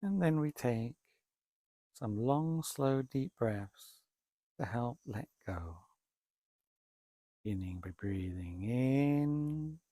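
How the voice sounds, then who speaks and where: A man leading a guided meditation, speaking calmly and deliberately in short phrases with long pauses between them. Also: medium pitch, dry recording, studio quality. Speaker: meditation teacher, Buddhist monk.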